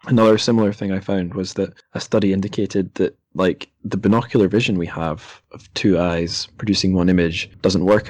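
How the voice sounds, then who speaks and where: A man's voice: sits low, darkish tone, no room sound. A man hosting a literary podcast, talking casually and reading passages from a book. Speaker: podcast host.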